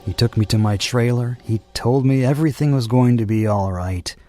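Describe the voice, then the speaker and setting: A man's voice: mid-range voice, normal speed, dark tone, a little hiss or hum, lightly acted. A man playing an anxious, weary carnival man in an audio drama, hesitant and earnest, pleading and protective in manner. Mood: haunted narration.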